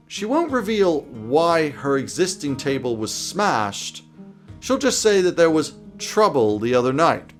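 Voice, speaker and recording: A man in his thirties presenting semi-scripted game master advice to camera in a wry, emphatic, irreverent way. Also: mid-range voice. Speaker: host giving game master tips. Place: small indoor home office.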